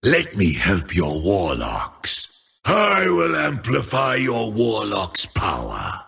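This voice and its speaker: A grandiose troll shadow priest who proclaims his dark power in a very slow, swooping delivery. His voice is raspy and gravelly, breathy yet bright and forceful, and it often rises to a shout. These are menacing video game battle lines. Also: on the low side, huge pitch swings, faint music behind, full character voice. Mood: boastful.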